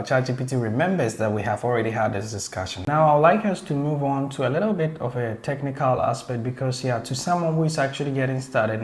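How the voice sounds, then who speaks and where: Eager, chatty man hosting a tutorial. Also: mid-range voice. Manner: eager, chatty, addresses the audience directly. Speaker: host. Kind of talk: casual tutorial talk.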